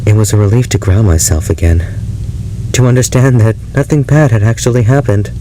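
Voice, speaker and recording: An actor playing an unhinged, obsessive ex-lover confessing darkly in an audio drama monologue, halting and brooding. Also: male voice, fairly low pitch, dark tone, a little hiss or hum, natural voice, poor audio. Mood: brooding, haunted. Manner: halting, brooding, breaking into unhinged laughter and intensity.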